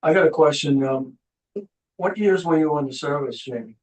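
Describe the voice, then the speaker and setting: A man runs a town committee meeting from a boardroom, pausing often on fillers. His somewhat high voice sounds distant, caught by a room mic with plenty of echo. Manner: hesitant, uses filler words. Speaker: committee chair. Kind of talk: chairing a meeting. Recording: echoey room, from far off.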